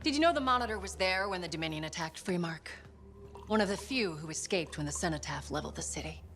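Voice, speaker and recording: Female intelligence chief, deep voice, composed, commanding, measured, video game cutscene, music underneath. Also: lively intonation, a bit bright. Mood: grave, urgent. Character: composed, authoritative female spymaster, measured and guarded. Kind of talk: cinematic game voice acting.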